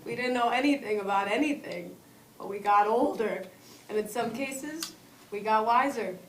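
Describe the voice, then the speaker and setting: A woman's voice: a little high, normal speed, close to an everyday voice. Woman reading as sentimental grieving male movie star, roomy. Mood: mournful, sentimental. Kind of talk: live comedy script read-through. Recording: roomy, distant mic, faint noise.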